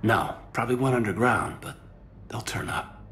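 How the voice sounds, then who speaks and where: An actor playing an earnest, soft-spoken male soldier who has just been made an elite agent. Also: fairly low pitch, animated, normal speed, a little hiss or hum. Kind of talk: video game voice acting.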